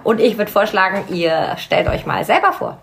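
A woman's voice: mid-range voice, animated, normal speed, gravelly, a little hiss or hum. A woman hosting a podcast interview, enthusiastic and chatty. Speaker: podcast host. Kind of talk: casual interview. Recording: roomy echo.

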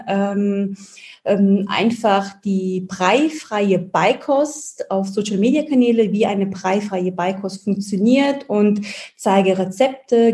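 A woman from the Palatinate, a guest in an interview, talking about her work and family with many pauses and fillers. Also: mid-range voice, warm tone. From Germany, Palatinate. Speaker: guest, baby-feeding advisor. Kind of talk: casual interview chat.

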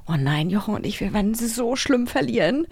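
A woman's voice: fairly high pitch, expressive, fairly quick, a little mellow, slightly rough, no room sound. A woman co-hosting a podcast, chatting casually in a playful, enthusiastic way. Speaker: podcast co-host. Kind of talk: casual quiz chat.

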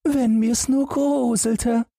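Man playing a high-voiced fearless young lad. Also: fairly even intonation, gravelly, warm tone, no room sound, clean studio sound, full character voice. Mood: wistful, frustrated. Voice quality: light, raised voice. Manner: carefree, unfazed, brisk. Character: fearless, naive, cheeky young lad of fairy tale.

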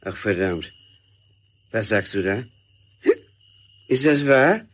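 A man's voice: fairly low pitch, lively intonation, fairly bright tone, full character voice. An actor playing a befuddled, drunk older man with slurred speech in a children's radio play from the 1970s or 80s. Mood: dismayed, befuddled. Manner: muddled, slow, drunken.

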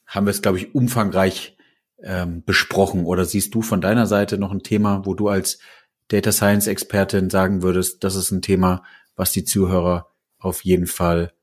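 A male podcast host with a slightly low, husky voice. He talks quickly and loosely, rephrasing as he goes, and closes the episode with thanks to his guest. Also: little pitch movement. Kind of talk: podcast interview.

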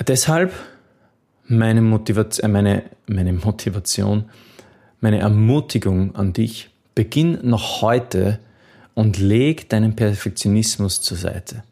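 A man talks through a viewer's question about memory techniques, his voice low and husky. He stays earnest and encouraging, with a gravelly edge and small restarts. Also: slow pace, dark tone. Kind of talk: advice video answering a viewer question.

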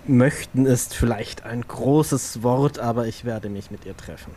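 A man's voice: medium pitch, a little slow, mellow, slight background noise, natural voice. A man playing a reserved, resolute vampire enforcer in an audio drama, laconic and understated. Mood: laconic, resolved. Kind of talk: improvised role-play audio drama.

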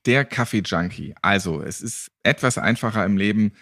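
A man's voice: lowish voice, expressive, moderate pace, vocal fry, fairly bright tone, no echo, studio quality. A man hosting a podcast in a casual, chatty style. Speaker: podcast host.

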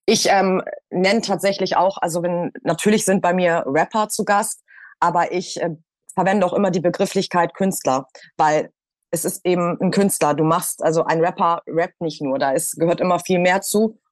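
A woman's voice: lowish voice, steady tone, moderate pace, no echo. Emphatic woman radio presenter, dry sound. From Hamburg, Germany. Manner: emphatic, repeats points for stress. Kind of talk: casual roundtable discussion.

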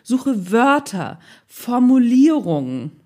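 A woman's voice: fairly high pitch, big pitch swings, slow pace, a little mellow. Playful woman with a Northern German accent. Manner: playful, chatty, colloquial. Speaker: blogging tutor. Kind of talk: casual tutorial addressing the viewer.

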